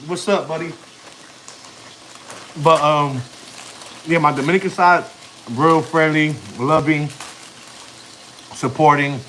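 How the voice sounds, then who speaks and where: A man's voice: a little high, steady tone, slow, long pauses, sharp tone, slight background noise, low-quality recording. Slangy man in his thirties. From United States. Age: 30s. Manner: loose street slang, rambling and chatty. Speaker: family vlogger. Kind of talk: casual live-stream chat.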